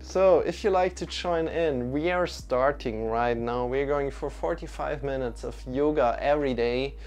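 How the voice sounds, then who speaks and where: Swiss-accented young man, yoga stream host; gravelly, middle-pitched, casual and welcoming, faint music underneath. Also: a little slow, a little mellow. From Switzerland. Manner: friendly, welcoming, loose with frequent restarts. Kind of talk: live-streamed yoga class, chatting with viewers.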